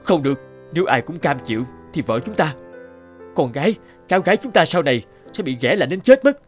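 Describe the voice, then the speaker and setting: An actor playing a gentle, grieving young father from a village in a cartoon, earnest and pleading. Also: on the high side, sing-song, brisk. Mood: bitter, defiant. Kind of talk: cartoon dub acting. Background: background music.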